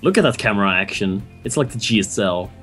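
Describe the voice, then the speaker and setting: A man's voice: fairly low pitch, fast, music in the background. A man casting a video game match, introducing players and thinking aloud about strategy with easy enthusiasm. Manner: excitable, laughs often, thinks aloud. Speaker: esports caster. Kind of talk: esports play-by-play commentary.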